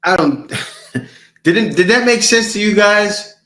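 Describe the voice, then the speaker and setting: A man hosting a casual reaction video, commenting on clips in an animated, incredulous way and repeating phrases for emphasis. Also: high voice, slow pace, reverberant, a little hiss or hum.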